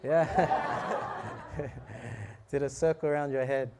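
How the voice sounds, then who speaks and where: A man giving a relaxed, joking talk on scripture to a live audience, often asking them questions. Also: on the high side, slow, noisy background. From South Africa. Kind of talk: casual sermon to a live audience.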